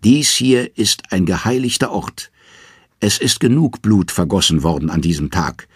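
A man's voice: sits low, animated, normal speed, breathy edge, dry recording, clean studio sound. A man voicing an old, weary father of the gods in an audio saga, solemn and commanding.

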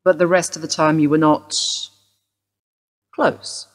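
An actress playing a woman detective who questions a suspect in a brisk, formal way. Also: low-pitched, fairly even intonation. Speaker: police detective. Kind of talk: radio play acting.

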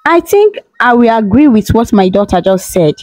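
A woman's voice: a little high, sing-song, moderate pace, darkish tone, dry recording, soft background music. A haughty storybook queen who barks contemptuous orders in a raised voice, as a woman narrator puts her on. Close, dry reading. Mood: measured. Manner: commanding, contemptuous. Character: stern royal queen, harsh and scornful.

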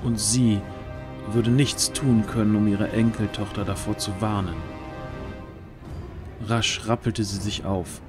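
A man's voice: on the low side, level tone, measured pace, pauses often, over music. A man narrating a scripted fantasy audio drama as its storyteller.

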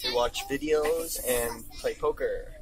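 A young man chatting casually as a travel vlogger, joking and relaxed, filmed on a handheld camera. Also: mid-range voice, takes it slowly, very noisy. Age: young adult. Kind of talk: casual vlog commentary. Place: sleeper train cabin and small kitchen.

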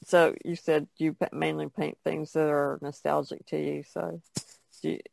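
A woman who paints, chatting casually in a halting, thoughtful way. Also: deep voice, little pitch movement, gravelly, darkish tone. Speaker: painter being interviewed. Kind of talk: casual chat.

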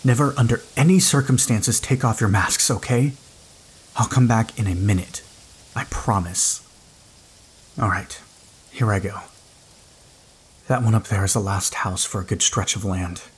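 An actor playing an anxious, gentle father and survivor in an audio drama. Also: lowish voice, measured pace, pauses often, slight background noise, close to an everyday voice, rough recording. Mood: hushed, tender.

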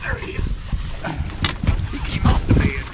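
A man fishing from a bass boat, muttering and coaxing the fish as he films his day on the lake. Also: a little slow. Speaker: angler, channel host. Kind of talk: casual on-the-water commentary. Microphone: action camera on the boat. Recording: distant outdoor camera audio with wind and boat noise.

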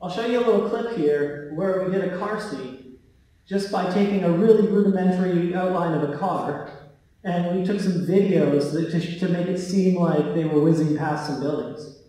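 Man, higher-pitched voice, informal stage talk, casual halting delivery, distant from the mic, long hall reverb. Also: mellow. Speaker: tech company co-founder giving a talk. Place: large theatre stage, indoors. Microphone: handheld microphone, recorded by a far camera. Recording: very echoey hall, heard from far off.

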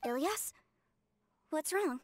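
High-voiced, caring young sister. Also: female voice, lively intonation, slow, slight background noise. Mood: concerned. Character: caring younger woman, protective, easygoing sister. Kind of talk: English video game voice acting.

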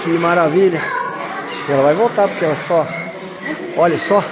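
A man's voice: a little high, moderate pace, a crowd in the background. A man with a southern Brazilian accent narrating a wildlife park visit on his phone, enthusiastic and talking to his viewers, constantly exclaiming in awe. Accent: Brazilian Portuguese, southern Brazil. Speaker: vlogger filming a zoo visit. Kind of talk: casual handheld narration. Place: outdoor wildlife park with enclosures. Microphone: phone camera held while walking. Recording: noisy outdoor phone recording. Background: outdoor ambience and animal sounds.

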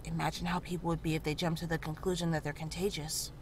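A woman acting as a calm female healer in a horror game, with a lowish voice. She is measured and matter-of-fact, frank but reassuring. There is clear noise and ambience behind her. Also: lightly acted. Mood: serious, confiding. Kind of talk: visual novel character dialogue.

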